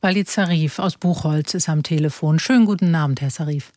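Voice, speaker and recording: A radio host moderating a call-in discussion, friendly and brisk, guiding guests with questions. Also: medium pitch, darkish tone, no room sound, studio quality.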